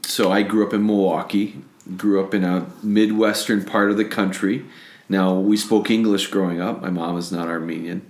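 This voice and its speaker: An American man hosting a podcast, chatting in a relaxed way and telling stories with frequent restarts. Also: deep voice, normal speed, echoey room. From United States. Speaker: podcast host. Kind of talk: casual conversational podcast.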